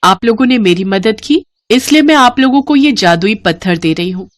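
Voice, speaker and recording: A woman voicing a gentle, earnest fairy in a Hindi moral story, speaking formally and solemnly. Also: on the high side, lively intonation, normal speed, a little hiss or hum, lightly acted. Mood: gentle, grateful. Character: gentle, grateful female forest fairy granting boons, stern with wrongdoers.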